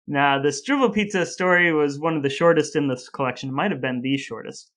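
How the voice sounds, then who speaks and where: A man's voice: fairly high pitch, a little fast, fairly bright tone. A man hosting a podcast, telling stories casually with a wry, playfully sarcastic manner. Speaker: podcast host. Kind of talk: casual storytelling talk.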